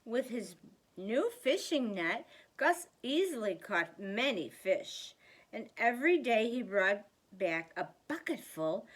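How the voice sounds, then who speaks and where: An older woman reads a picture book to small children. Her voice sits a little high and swings widely in pitch as she leans on key words. She takes her time, with a slow, deliberate storytelling pace. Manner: slow, deliberate, animated emphasis. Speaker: grandmother reading a children's book aloud. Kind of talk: expressive storybook read-aloud for young children.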